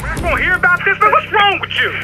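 An actor with an American accent playing a cocky, loose-lipped young gang foot soldier in a video game, brash and slangy. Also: male voice, very high voice, animated, fast, bright, forceful tone, soft background music, exaggerated voice. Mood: agitated.

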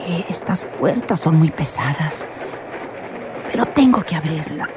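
A woman's voice: low-pitched, lively intonation, unhurried. An actress playing a young woman train passenger, polite but anxious, with a Mexican accent in a radio soap opera. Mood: puzzled, musing. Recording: noisy old radio drama recording.

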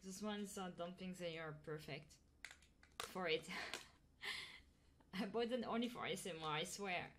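A woman's voice: fairly low pitch, unhurried, long pauses, a bit bright. A woman chatting casually to her live audience on an ASMR stream, often checking in with her listeners in a coaxing way. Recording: clear buzzing electric motor noise. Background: buzzing hum of a handheld massager.

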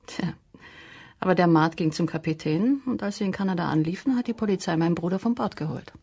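A weary woman being questioned in a crime radio drama. Her voice is low and husky, and she answers curtly and reluctantly. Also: animated, quick pace, warm tone, exaggerated voice. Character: curt, weary, reluctant sister of a murdered man. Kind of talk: German crime radio play.

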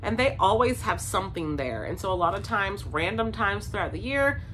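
A woman's voice: medium pitch, expressive, normal speed, fairly bright tone, slight background noise, poor audio. A woman in her thirties chatting casually to camera as a vlogger, sounding congested from a cold, upbeat and full of ums and likes. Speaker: vlogger, stay-at-home mom. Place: home basement, indoors. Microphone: camera in front, seated framing.

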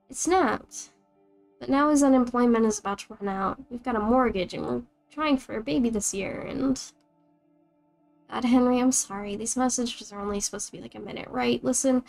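A woman's voice: a little high, creaky, darkish tone. A woman voicing a worried young woman leaving a rambling, apologetic voicemail for her brother.

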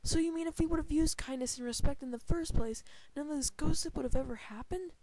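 A man's voice: very high-pitched, quick pace, a little mellow. A man playing an earnest, put-upon researcher in an amateur comic drama, with an exclamatory manner. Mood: dismayed. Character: earnest, put-upon researcher called Goofy seeking a cure.

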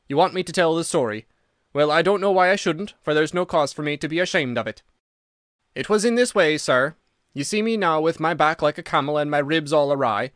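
A man's voice: on the high side, fairly bright tone, no echo, studio quality, lightly acted. A man voicing a bitter, broken older ex-soldier in an audiobook, telling his story with vehemence. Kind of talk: audiobook character reading.

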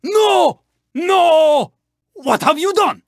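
A proud Japanese-accented race car from a video game. The delivery is slow, formal and clipped, swinging dramatically between low and high pitch. The voice is husky and intense. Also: male voice, wildly swooping pitch, sharp tone, no echo, studio quality, heavily acted. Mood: agitated. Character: proud, intense, honour-bound male Japanese racing car. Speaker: video game character voice actor. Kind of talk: video game voice clips, short barks.

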